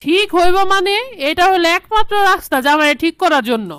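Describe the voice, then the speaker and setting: A woman acts out a meddling middle-aged cartoon mother with a Bangladeshi accent. She has a high voice and a goading, know-it-all manner, heard close and dry. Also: animated, brisk, fairly bright tone, no echo. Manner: goading, opinionated. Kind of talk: comedy cartoon dialogue.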